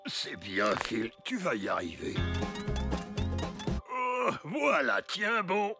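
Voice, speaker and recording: Wildly animated, friendly cartoon baker. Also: male voice, a little high, takes it slowly, loud music behind the voice, heavily acted. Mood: self-encouraging. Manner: exclamatory, swooping, comically polite. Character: jittery, good-natured cartoon donut baker man. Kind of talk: animated children's cartoon dub.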